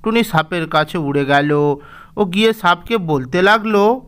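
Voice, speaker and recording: Clear high-voiced male storyteller. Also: measured pace, a bit bright. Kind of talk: scripted storybook narration.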